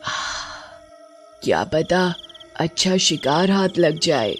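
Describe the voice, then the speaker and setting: A woman voicing a greedy, scheming witch out for prey in a horror story, with a drawn-out, menacing, gloating manner. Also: deep voice, expressive, soft background music, pitched below the performer's own voice. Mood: sly, curious.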